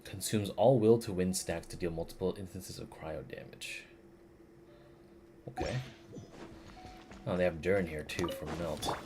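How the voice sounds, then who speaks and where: A man with a low voice streams a game and comments as he goes. He talks informally and rambles through his thoughts, with game music playing clearly behind him. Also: steady tone, a little slow, long pauses. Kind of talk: casual live stream commentary.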